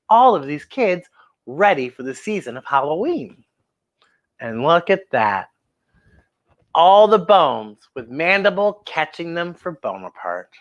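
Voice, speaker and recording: Lady doing kids story time, super animated voice that swoops around, talks right to the little ones. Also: deep voice. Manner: animated, exclaiming, addressing young listeners directly. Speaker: host of a children's story time show. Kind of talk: expressive children's storybook read-aloud with commentary.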